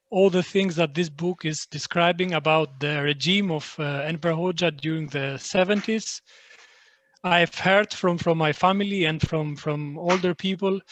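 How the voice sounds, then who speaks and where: A man from Albania whose first language is Albanian, speaking as a book translator in an online talk, halting with many fillers and restarts. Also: a little high, fairly bright tone, no echo.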